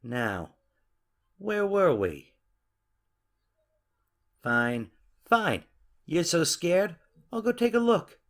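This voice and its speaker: A man's voice: fairly high pitch, sing-song, drawn-out words, long pauses, a bit bright, heavily acted. An actor playing a young man in a car who casually brushes off his girlfriend's fears in a coaxing, dismissive way. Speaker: boyfriend in a parked car.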